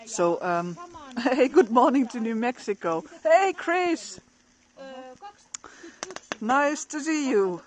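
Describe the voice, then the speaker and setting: A middle-aged woman speaks slowly and easily to her live-stream viewers, greeting them as she goes. She laughs often. She is outdoors in a snowy forest, talking into a phone camera. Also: fairly high pitch, lively intonation. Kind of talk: casual live stream chat.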